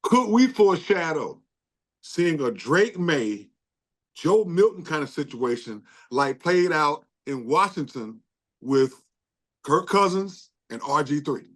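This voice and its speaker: A man's voice: on the high side, very animated, slow, a bit bright. A man co-hosting a sports podcast, talking informally and checking his points as he goes. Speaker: podcast co-host. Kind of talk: casual sports chat.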